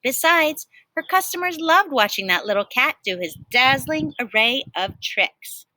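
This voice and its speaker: A woman teacher reading a picture book aloud to children in an expressive storytelling style. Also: high voice, measured pace, no room sound, poor audio.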